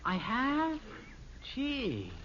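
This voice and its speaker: A man's voice: very high voice, wildly swooping pitch, drawn-out words, bright, forceful tone, exaggerated voice. A man playing an eager, wheedling American teenage son in a 1950s radio sitcom, boyish and earnest. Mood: excited. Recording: dull, noisy old radio recording.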